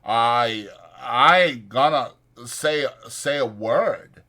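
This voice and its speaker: A man voicing a big, simple-minded, childlike ranch drifter who speaks slowly and haltingly, eager and pleading, in an audiobook. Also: mid-range voice, bright, forceful tone. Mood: proud, earnest. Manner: slow, halting, emphatic speech.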